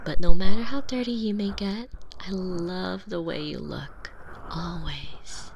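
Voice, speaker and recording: A woman's voice: lowish voice, unhurried, noisy background. A woman playing a sweetly doting but obsessive, volatile girlfriend in an intimate, close-miked ASMR roleplay. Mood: tender, intimate. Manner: intimate, cooing, often dropping into breathy whispers. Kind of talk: scripted ASMR roleplay acting. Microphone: close binaural microphone.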